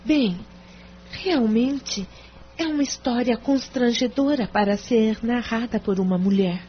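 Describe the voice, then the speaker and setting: A woman's voice: on the high side, measured pace, natural voice. An actress with a Brazilian accent playing a reserved, embarrassed married woman confiding in a hesitant way in an audio drama. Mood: confiding, sorrowful. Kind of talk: audio drama acting in Portuguese. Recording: dull lo-fi audio with clear noise.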